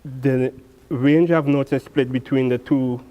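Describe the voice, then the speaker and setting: A man from Trinidad and Tobago, a steel pan player answering interview questions in a halting way, restarting and repeating words. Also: mid-range voice, fairly even intonation, highs cut off. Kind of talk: interview guest.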